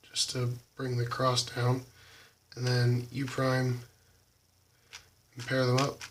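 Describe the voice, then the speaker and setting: A slightly hoarse young man casually talking through how he solves a puzzle cube, halting with lots of ums. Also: mid-range voice, recorded from a distance, a little hiss or hum. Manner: halting, many ums, soft and quiet. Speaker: YouTube cubing channel host. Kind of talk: casual tutorial walkthrough.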